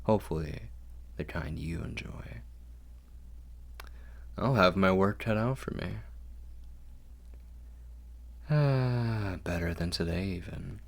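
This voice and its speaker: A man's voice: low voice, measured pace, creaky, long pauses, heavily acted. A man playing an ancient, possessive and doting vampire lord in an intimate ASMR roleplay, murmuring formally and drifting into whispers.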